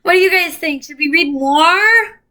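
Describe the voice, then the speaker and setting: A woman reading a children's novel aloud in an expressive storytelling way. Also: very high voice, normal speed.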